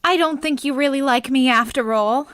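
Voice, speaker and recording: A woman's voice: sits high, moderate pace, fairly bright tone, no room sound, studio quality. A woman playing a coy, teasing young woman. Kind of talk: vintage radio play acting.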